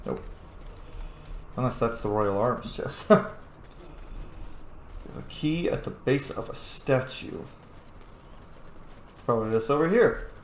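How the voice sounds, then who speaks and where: A man's voice: mid-range voice, animated, takes it slowly, long pauses, a little hiss or hum. A man giving casual, sweary gameplay commentary over a video game. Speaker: gaming video host. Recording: roomy echo, game music behind. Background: video game music.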